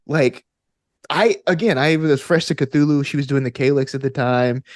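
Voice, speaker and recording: A man's voice: a little high, normal speed, dry recording, studio quality. An American man talking casually and enthusiastically as an interview guest, telling stories in an informal way. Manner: enthusiastic, informal, punctuated with "like" and "you know". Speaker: interview guest, actor and game master. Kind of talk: casual conversational storytelling.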